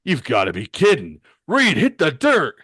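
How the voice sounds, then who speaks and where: A man voicing a tough, streetwise comic-book superhero, loud, exasperated and incredulous. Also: fairly high pitch, wildly swooping pitch, moderate pace, husky, dry recording, studio quality, voice pushed up, exaggerated voice. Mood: incredulous, urgent.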